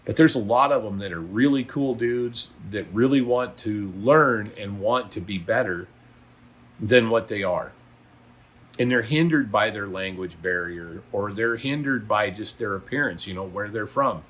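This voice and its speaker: A man's voice: on the low side, unhurried, a bit bright. A middle-aged or older man, a trucker talking casually to viewers in a blunt, folksy, opinionated and emphatic way. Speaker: trucker and video blogger. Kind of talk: casual vlog monologue.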